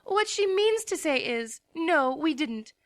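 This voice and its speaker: A woman's voice: sits high, expressive, slow pace. A woman voicing a prim, refined young female pony, speaking carefully. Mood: sheepish.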